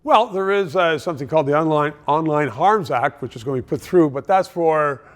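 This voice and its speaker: High pitched guy hosting a political interview show, blunt little questions. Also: a little fast. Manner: direct, prompting questions.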